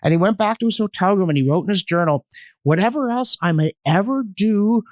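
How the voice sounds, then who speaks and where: A man's voice: high voice, animated, a little fast, no room sound, clean studio sound. A man speaking as a podcast guest on history, telling stories emphatically and acting out quotes. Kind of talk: animated storytelling in a panel discussion.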